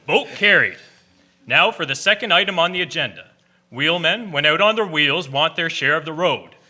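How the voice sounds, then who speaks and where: A man plays an officious Victorian club chairman in a radio play. His voice is fairly high and very sharp, with formal, declamatory delivery that keeps the meeting in order. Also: animated, normal speed, full character voice. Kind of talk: radio drama acting.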